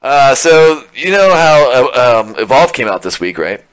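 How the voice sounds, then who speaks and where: A man's voice: medium pitch, very animated, unhurried, clean tone, no echo, low-quality recording. A man hosting a casual podcast, rambling about games with frequent laughs and self-mocking asides. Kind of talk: casual chat.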